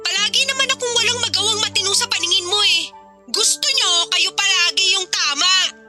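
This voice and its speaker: A woman's voice: very high-pitched, fairly quick, over music. A woman acting a defiant, irresponsible young mother in a Filipino audio drama, whining and talking back petulantly to her sister. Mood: defiant, resentful. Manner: whiny, petulant back-talk. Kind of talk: dramatized Filipino radio-style drama dialogue.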